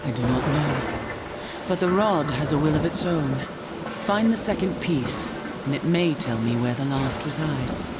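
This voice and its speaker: A woman's voice: sits low, expressive, unhurried. A woman playing a proud, weary angel warrior commander in a video game, speaking in a solemn, formal, resolute way. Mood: solemn, wary. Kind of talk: dramatic video game cutscene acting. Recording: loud music behind the voice.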